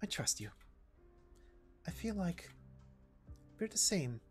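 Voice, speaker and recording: A woman's voice: fairly low pitch, big pitch swings, slow, a little mellow, soft background music. A woman voicing a wary, lonely young knight in a game story, with a subdued, hesitant and earnest manner. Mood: earnest, trusting.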